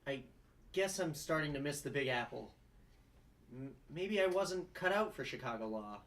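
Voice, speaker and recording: A man's voice: on the high side, moderate pace. A young man playing an earnest, easily wounded lawyer who stammers dramatically at a sitcom table read. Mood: flustered, sheepish. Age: young adult. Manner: stammering, overdramatic, animated.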